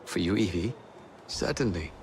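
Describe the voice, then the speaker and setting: An actor playing an earnest, devoted young scholar in a Victorian game, measured and courteous. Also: male voice, low-pitched, lively intonation, slight background noise. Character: earnest, soft-hearted scholarly young man, devoted ally. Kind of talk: video game period drama acting.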